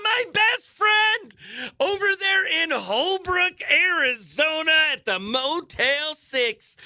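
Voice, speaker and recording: High, very bright American male radio host. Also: no room sound. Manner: exuberant, playful, effusive with exclamations and greetings. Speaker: morning radio host and comedian. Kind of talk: chatty country radio morning show banter.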